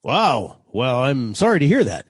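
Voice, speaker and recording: A man playing a polite, puzzled, well-to-do gentleman in a 1940s-style radio comedy. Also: medium pitch, big pitch swings, a little slow, slightly rough, dry recording, studio quality. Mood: sheepish politeness.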